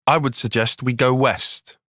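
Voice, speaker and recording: An actor playing a bookish young male soldier-tactician in a video game, with a British accent and a measured, formal, dry way of speaking. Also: medium pitch, steady tone, a bit bright, dry recording, natural voice. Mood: calm, reasoning. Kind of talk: video game cutscene voice acting.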